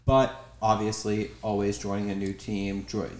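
A man's voice: on the low side, completely flat delivery, normal speed, roomy sound, very noisy. A young man co-hosting a casual podcast, upbeat and blunt, swearing for emphasis. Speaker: podcast co-host.

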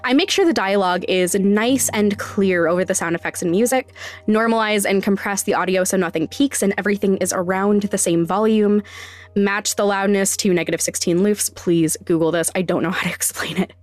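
A woman's voice: medium pitch, fairly quick. A woman hosting a podcast, giving scripted explanations with wry, self-deprecating asides. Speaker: podcast host and sound designer.

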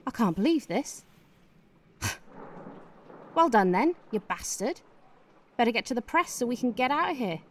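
Actress as cynical junior politician, radio drama; blunt, animated, slightly high voice in a long reverb. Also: female voice, normal speed, pauses often. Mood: indignant. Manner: wry, blunt, sweary. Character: dry, cynical female junior politician and sidekick. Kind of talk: radio play acting.

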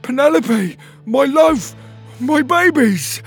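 A man's voice: extremely high pitch, animated, takes it slowly, faint music behind, voice pushed up, full character voice. A man voicing an emotional platypus father in a children's story, crying out in a pleading manner. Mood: overjoyed.

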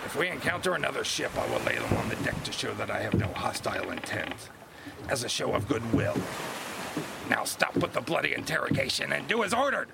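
A man playing a gruff, scheming captain of an old sailing ship in an audio drama, with commanding, salty seafaring speech. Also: medium pitch, sing-song, brisk, very noisy. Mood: guarded, stern. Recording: loud ship and sea ambience behind.

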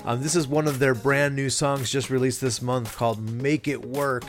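A man's voice: mid-range voice, fairly even intonation, moderate pace. A man hosting a podcast, introducing his guests with enthusiastic, chatty talk and filler words. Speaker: podcast host. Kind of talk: show intro. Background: music playing.

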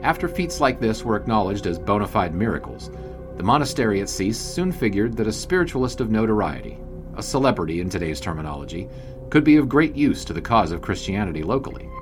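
An actor playing a wry 1920s sportswriter, narrating an audio drama in a wordy, grandiose storytelling manner. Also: male voice, lowish voice, very animated, fast talker, music in the background. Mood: measured, storytelling.

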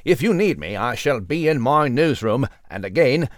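A man playing a genteel, pompous, cheerful little gentleman mouse in a children's audio drama, with a British accent and flowery manners. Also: medium pitch, lively intonation, normal speed, no room sound, studio quality, natural voice. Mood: jolly, polite. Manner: flowery, old-fashioned politeness.

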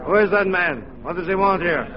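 An actor playing a self-important rebel leader, formal and oratorical, in 1940s radio drama style. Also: male voice, high voice, a little fast, lightly acted. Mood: suspicious, formal. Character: self-important male rebel leader addressing his council. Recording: muffled radio recording, crowd behind.

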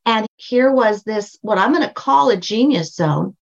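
Emphatic older woman with a high voice. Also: animated, a little slow, creaky, lo-fi sound. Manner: emphatic, storytelling, repeats phrases for stress. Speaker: guest, brand strategist and book coach. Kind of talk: podcast interview.

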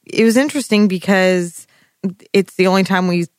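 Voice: mid-range voice, normal speed, no room sound, clean studio sound. A co-host in a casual TV episode recap, rambling and trailing off while searching for names. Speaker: podcast co-host.

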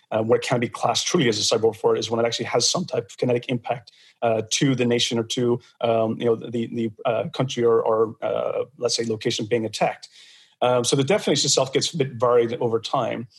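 A man's voice: mid-range voice, little pitch movement, brisk, husky, faint music behind. Chatty male podcast host. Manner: rambling, many fillers and restarts. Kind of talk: casual podcast discussion.

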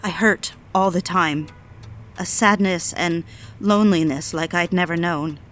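A woman's voice: fairly low pitch, measured pace, a bit bright. A woman playing a lonely, obsessive diarist in an audio drama, with a confessional, literary way of speaking. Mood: lonely, brooding. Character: lonely, bored, obsessive woman confiding to her diary. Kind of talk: dramatic first-person diary narration.

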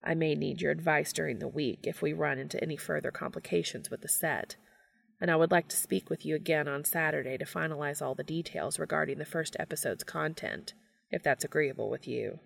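A reader as a formal, gravelly male TV producer. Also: medium pitch. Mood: formal, flustered. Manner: formal, stilted, measured phrasing. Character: TV producer man, formal, earnest, reserved.

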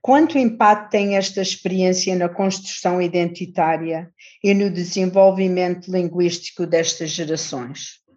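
A middle-aged woman from the Azores, raised in California, gives a conference talk from a prepared paper. Her voice sits a little low and her delivery is measured and reflective. The recording sounds dull and lo-fi. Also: a little mellow. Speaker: conference panel speaker. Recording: dull lo-fi audio.

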